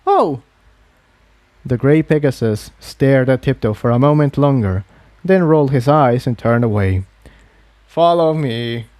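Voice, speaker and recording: A man's voice: mid-range voice, normal speed, mellow, dry recording. A man reading a fantasy adventure story aloud as a scripted narrator. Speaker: narrator, fan fiction reader.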